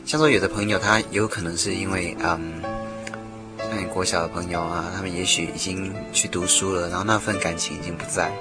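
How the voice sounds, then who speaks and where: A man with a fairly low voice talks very quickly, with music clearly playing behind him. He explains things casually and earnestly as an interview guest, circling back over phrases to stress them. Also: steady tone.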